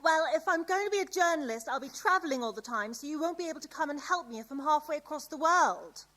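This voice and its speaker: An independent teenage daughter in a radio play. Her voice is very high and girlish, and she sounds like someone keen to reassure a parent and go her own way. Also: expressive, fast talker, a bit bright. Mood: confident, impatient. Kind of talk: radio drama acting.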